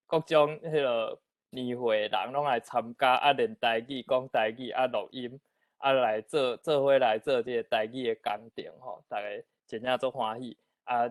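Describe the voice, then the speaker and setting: A man's voice: medium pitch, no room sound. A man with a Taiwanese accent informally moderating a discussion and inviting others to speak.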